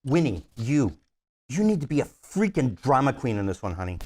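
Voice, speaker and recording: A man's voice: mid-range voice, very animated, normal speed, a little mellow. A man playing a bubbly, exasperated film director in his sixties, a demanding perfectionist, in a comedy screenplay table read. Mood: bossy.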